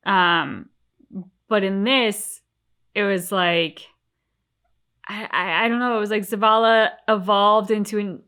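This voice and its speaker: A woman's voice: mid-range voice, fairly even intonation, slow pace, long pauses, a bit bright, smooth. A woman with a mid-range voice talks casually as a reaction show co-host. She is reflective and articulate in how she lays out her thoughts. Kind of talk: casual discussion.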